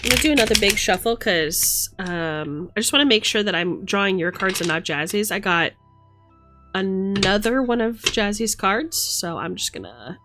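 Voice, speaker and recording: A woman streamer talks casually over background music at a medium pitch. She is playful and affectionate with her listeners, often thinking out loud as she goes through a tarot reading. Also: lively intonation, normal speed. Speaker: livestreamer. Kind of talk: casual livestream chat while reading tarot cards.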